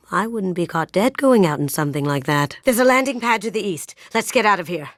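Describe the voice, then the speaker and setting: A playful, coolly confident female master thief from a sci-fi video game. Her voice sits a little low for a woman and she talks with wry, sly ease. The recording is very dry, with no room around it. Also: no room sound. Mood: wry, admiring, then urgent. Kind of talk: video game character voice acting.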